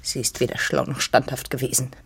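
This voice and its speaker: A woman's voice: rumbling low, flat delivery, fairly quick, gravelly, husky, full character voice. Defiant, bitter farm woman. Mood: bitter, accusing. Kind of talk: literary radio drama acting.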